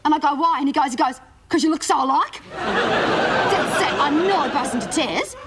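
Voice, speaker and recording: A woman's voice: high-pitched, expressive, normal speed, fairly bright tone, some chatter behind. A woman with an Australian accent playing a gossipy, easily embarrassed teenage schoolgirl, rambling breathlessly through a comedy monologue. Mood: indignant, gossiping. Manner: breathless rambling storytelling, mocking asides. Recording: studio audience laughter and noise.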